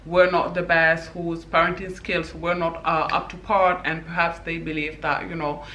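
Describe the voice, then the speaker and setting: A woman's voice: on the low side, little pitch movement, normal speed, a bit bright, echoey room, slight background noise. A woman from Senegal giving her opinions in a casual vlog, talking emphatically with many "you know"s. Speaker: vlogger.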